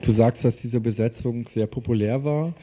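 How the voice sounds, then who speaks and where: A man's voice: mid-range voice, normal speed, dark tone, slight background noise. A man working as a radio presenter, reading a scripted report and putting questions to an interviewee.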